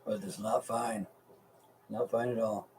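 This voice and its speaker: Mellow-voiced, foul-mouthed American man rambling. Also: lowish voice, fairly even intonation, unhurried, distant mic, a little hiss or hum, rough recording. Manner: profane, blunt, folksy. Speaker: live stream host. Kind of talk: casual rambling chat with viewers.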